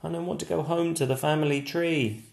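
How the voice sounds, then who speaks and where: A man's voice: fairly high pitch, fairly even intonation, a little fast, creaky, a little mellow, roomy sound, voice pushed up. A man voicing a small, brave stick figure who keeps insisting who he is in a sing-song refrain. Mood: indignant.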